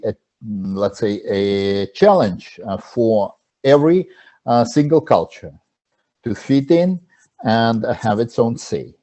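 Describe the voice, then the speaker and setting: Non-native male panelist, slow and dark-toned, hesitant delivery over a video call. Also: mid-range voice. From abroad, moved to Canada. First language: not English. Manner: halting, many fillers and restarts. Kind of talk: roundtable discussion on a video call.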